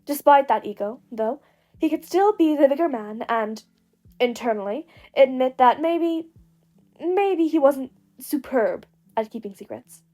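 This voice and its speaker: A woman reading a piece of fiction aloud as narrator, with expressive scripted delivery. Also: high-pitched, unhurried, soft background music. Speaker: podfic narrator.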